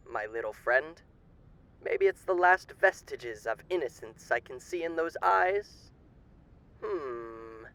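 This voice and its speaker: A man's voice: mid-range voice, vocal fry, bright, forceful tone, pitched below the performer's own voice, full character voice. A man playing a suave, sinister demon with a theatrical, condescending manner and old-fashioned genteel endearments in a roleplay monologue. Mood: intrigued, sinister.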